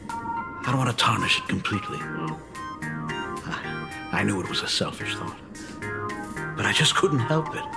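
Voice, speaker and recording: A man voicing a doting, fretful middle-aged father and academy dean in a video game, with expressive, theatrical delivery. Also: lowish voice, measured pace, gravelly, long pauses, long reverb tail, loud music behind the voice. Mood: regretful.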